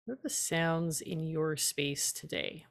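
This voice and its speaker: Low, creaky-voiced woman guiding meditation. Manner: calm, unhurried, leaves long pauses. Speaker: guided tea meditation teacher. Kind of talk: guided meditation, slow and gentle instruction.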